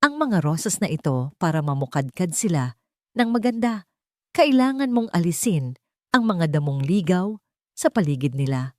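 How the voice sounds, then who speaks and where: A woman acts out an older Filipino mother in an audio storybook. She is gentle, calm and wise, with a lowish pitch. The recording is dry. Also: dry recording. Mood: gently advising. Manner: calm, unhurried, advising.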